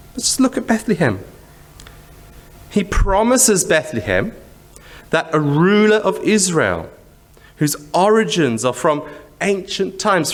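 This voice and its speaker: A male preacher with a Zimbabwean accent delivers a sermon in a somewhat high, lively voice that moves freely up and down. He explains things conversationally, posing rhetorical questions and repeating short phrases for emphasis. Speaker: church preacher.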